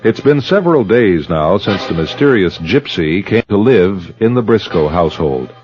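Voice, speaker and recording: Eerie radio tale narrator: low, solemn, storytelling delivery, muffled sound, prominent music. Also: male voice, sing-song, normal speed. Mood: solemn, ominous. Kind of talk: scripted radio drama narration. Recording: dull old radio, music behind.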